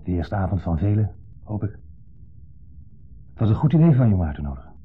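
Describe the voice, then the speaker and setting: A muffled 1970s radio drama recording of an insecure, jealous husband figure with a Netherlands Dutch accent. His voice is low and husky. He speaks theatrically, repeating himself and pressing on words with a needling edge. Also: very animated, fairly quick, faint music behind. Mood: wistful, restrained. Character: insecure, needling man dwelling on old jealousy. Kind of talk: 1970s radio play acting.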